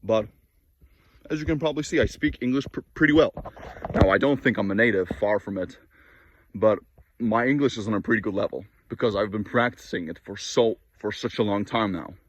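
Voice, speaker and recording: A man's voice: on the low side, a little fast, highs cut off. A man whose native languages are Norwegian and Polish, giving a blunt, preachy motivational monologue to camera with frequent restarts. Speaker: fitness and self-improvement vlogger. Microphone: camera mic.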